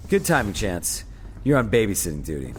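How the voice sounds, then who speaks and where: A dry space captain guy, lowish voice, steady and brisk, faint noise. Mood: commanding. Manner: brisk, matter-of-fact. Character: steady, dry male starship captain giving orders. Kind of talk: audio drama acting.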